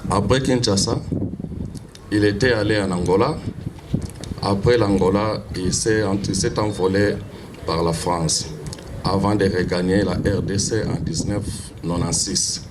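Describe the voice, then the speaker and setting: Male funeral speaker, measured delivery, low pitch, noisy outdoor recording. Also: fairly even intonation. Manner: slow, measured, formal reading. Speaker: funeral master of ceremonies. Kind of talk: reading a biography at a funeral.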